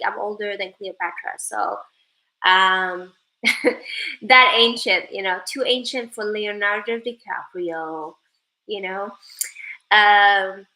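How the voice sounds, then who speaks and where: A woman's voice: medium pitch, pushed and bright, poor audio. A young woman from Sweden chatting casually with viewers on a livestream, joking playfully and reading out their comments. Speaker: live streamer.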